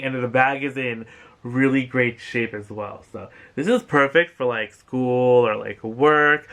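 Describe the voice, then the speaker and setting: A man's voice: mid-range voice, fairly bright tone. A young man hosting a casual thrift haul vlog from a couch at home, gushing and rambling with lots of fillers. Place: living room couch indoors.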